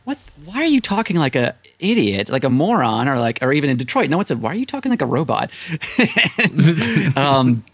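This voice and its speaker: A man's voice: fairly high pitch, very animated, brisk, fairly bright tone, husky, no echo. A man in his thirties with an American accent, telling casual anecdotes as an interview guest and acting out what people said. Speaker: podcast guest. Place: small indoor room, seated at desk. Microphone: close desk microphone on video call.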